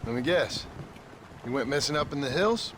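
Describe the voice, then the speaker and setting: An actor playing a laconic, dryly polite American cowboy gunslinger in a western video game. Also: male voice, on the high side, expressive, moderate pace, background noise, lightly acted.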